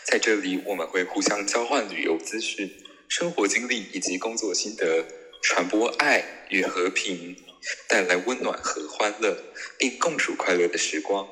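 A man's voice: deep voice, normal speed, bright, forceful tone. A man hosting a show, reading a scripted introduction. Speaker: show host. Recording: echoey hall sound. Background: music.

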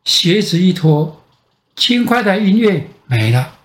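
Slow older Taiwanese grandfather storyteller, roomy sound. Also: high-pitched, very animated. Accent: Taiwanese Mandarin. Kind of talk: bedtime fairy-tale narration. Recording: roomy echo.

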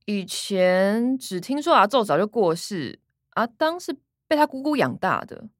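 Pushy, animated young Taiwanese woman. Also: medium pitch, a little slow, dry recording, clean studio sound. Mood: matter-of-fact. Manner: pushy, sardonic. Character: bossy, money-minded older sister, cynical and scheming. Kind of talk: radio drama acting.

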